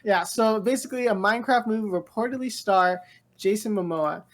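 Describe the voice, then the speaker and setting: A woman co-hosting a casual pop-culture news chat, speaking in an informal, halting, slightly bewildered way with slang. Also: medium pitch. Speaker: podcast co-host.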